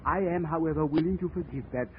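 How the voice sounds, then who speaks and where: Actor as a formal, unhinged older murderer. High, precise, near-hysterical. Vintage radio drama. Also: male voice, fast talker, lightly acted. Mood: smug. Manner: prim, precise diction that breaks into panicked shrieks. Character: deranged older man murderer, fussy and formal. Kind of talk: 1940s radio acting. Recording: tinny old radio broadcast with faint hiss.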